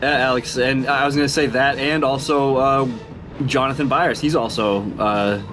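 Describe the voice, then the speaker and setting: A man's voice: medium pitch, steady tone, moderate pace, music in the background, poor audio. A man co-hosting a podcast who chimes in with short remarks and laughs often during a casual movie review. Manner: laughs a lot, brief interjections. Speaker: podcast co-host.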